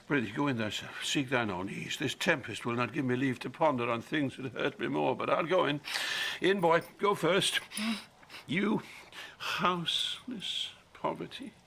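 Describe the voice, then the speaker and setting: A man's voice: medium pitch, a little slow, gravelly, bright, forceful tone, full character voice. An actor playing a grief-stricken old king going mad, in theatrical, declamatory Shakespearean audio drama style. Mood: sorrowful, prayerful. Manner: theatrical, declamatory, swinging from muttering to roaring.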